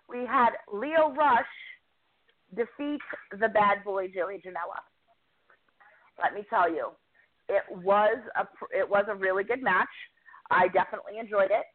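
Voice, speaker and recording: A woman reports on an indie wrestling show over a phone line, and the sound is thin and tinny. She talks in a chatty, enthusiastic way and is quick to make fun of herself. Her voice sits at a middling pitch. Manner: chatty, enthusiastic, self-deprecating. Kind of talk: casual podcast recap.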